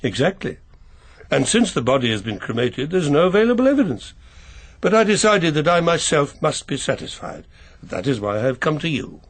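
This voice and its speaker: Older english lawyer type, proper n precise, bit hesitant, lively pitch, husky, radio play. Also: male voice, normal speed. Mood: grave, confiding. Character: proper, reserved older English family solicitor. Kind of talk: radio mystery drama acting.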